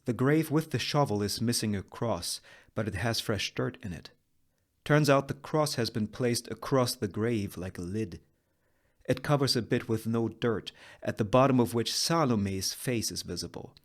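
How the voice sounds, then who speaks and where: A man reading screenplay stage directions as dramatic scripted narration, in deliberate short phrases with pauses for effect. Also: medium pitch, lively intonation.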